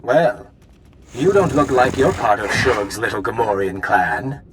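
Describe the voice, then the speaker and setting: A man's voice: fairly low pitch, measured pace, background noise, close to an everyday voice. An actor playing a smug male villain in a video game, urbane, theatrical and condescending. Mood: mocking menace.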